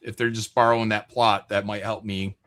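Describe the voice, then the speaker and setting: A man's voice: fairly low pitch, flat delivery, brisk, fairly bright tone. A man hosting a livestream, chatting casually and reading out viewer comments in an irreverent, joking, profane way. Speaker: livestream host.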